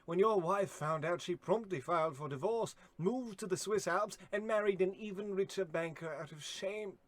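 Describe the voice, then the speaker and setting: A man's voice: high voice, moderate pace. A man playing a meek, loyal, deferential longtime servant who stammers, in a radio comedy play. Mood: nervous apology. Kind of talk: old-time radio comedy acting.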